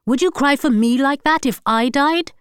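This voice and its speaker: A woman's voice: high-pitched, moderate pace, no room sound, clean studio sound, pitched above the performer's own voice, close to an everyday voice. A woman doing a blustering angry husband. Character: angry, guilty middle-aged husband.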